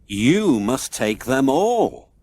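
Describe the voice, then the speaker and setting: Guy doing a kindly storybook wizard, excitable, voice goes all over the place. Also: medium pitch, extremely slow, slightly rough, exaggerated voice. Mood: delighted.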